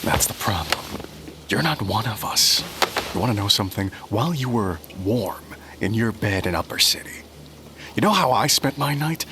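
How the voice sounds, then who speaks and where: An actor playing a weary, hunted rebel leader in an audio drama, grave and guarded, speaking in clipped phrases. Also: male voice, fairly low pitch, expressive, moderate pace, background noise. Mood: guarded, bitter. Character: weary, hunted male rebel leader and propagandist, earnest and irritable. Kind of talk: audio drama narration and dialogue. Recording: music underneath the voice.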